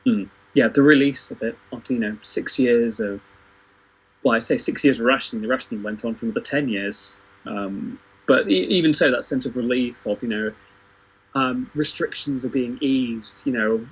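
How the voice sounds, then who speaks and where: A man's voice: medium pitch, moderate pace, a bit bright, slightly rough, low-quality recording. A man in a casual, unscripted panel discussion, speaking in a hesitant, self-correcting, conversational way. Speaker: theatre company performer on a livestream panel.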